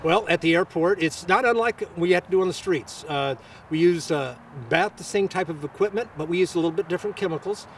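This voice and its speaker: A man answering press interview questions as a local official, with halting, hesitant phrasing. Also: sits high, far from the mic, slight background noise.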